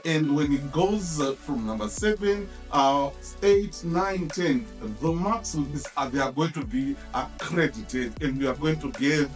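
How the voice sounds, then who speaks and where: A man teaching an exam-technique lesson, explaining in a halting way and repeating key phrases for emphasis. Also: a little high, far from the mic. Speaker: history teacher.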